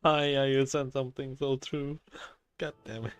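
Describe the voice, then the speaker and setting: A man's voice: medium pitch, steady tone, normal speed, clear, resonant tone, soft background music. A man giving casual, off-the-cuff commentary as he plays a video game. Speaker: streamer playing a game.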